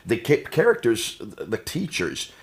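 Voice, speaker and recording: A man's voice: mid-range voice, wildly swooping pitch, unhurried, breathy edge. An American man in his sixties discussing in a study, often leading with rhetorical questions. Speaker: co-host. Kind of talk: conversational discussion. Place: indoor study with bookshelves.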